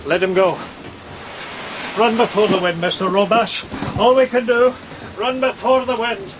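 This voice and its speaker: A solemn sea captain in a gothic horror radio play. His commanding, declamatory voice sits fairly high, with loud rain and storm noise all around. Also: fairly even intonation, measured pace.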